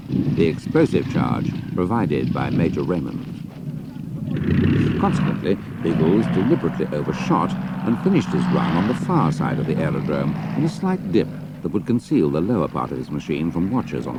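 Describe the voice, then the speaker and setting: A man's voice: rumbling low, gravelly, noisy background. A man giving a dramatic scripted narration of an adventure story.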